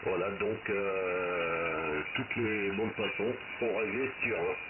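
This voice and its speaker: Low-voiced guy on ham radio, tinny narrow sound, loud static noise, just chatting casually. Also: slow pace. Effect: two-way radio transmission. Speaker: amateur radio operator taking instructions. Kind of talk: casual radio contact chat. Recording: very noisy, tinny narrow-band radio reception.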